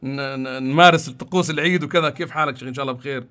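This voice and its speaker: Fast-talking radio call-in host. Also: male voice, medium pitch, bright, forceful tone. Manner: friendly, hurried, repeats greetings. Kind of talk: casual call-in radio talk.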